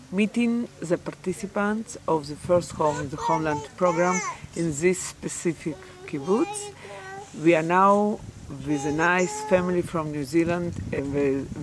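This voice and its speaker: Slow-paced interviewer, faint background noise. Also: medium pitch. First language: not English. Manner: slow, prompting with frequent mm-hmms. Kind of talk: on-site video interview.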